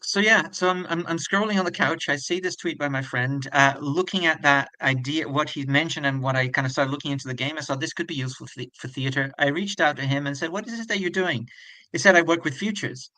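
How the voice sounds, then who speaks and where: A man in his forties from Brazil, whose first language is Portuguese, telling his story as an interview guest with many pauses and restarts. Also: on the high side, pushed and bright, no room sound, poor audio. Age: 40s. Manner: thoughtful, many restarts and repeated words.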